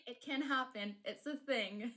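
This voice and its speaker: A woman whose first language is Polish chats loosely through a live stream. Her voice sits a bit high, and she keeps restarting her sentences and filling the gaps with ums. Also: a little slow, a bit bright. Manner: chatty, many fillers and restarts, laughs often. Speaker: streamer and fanfic writer. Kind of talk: casual live-stream chat.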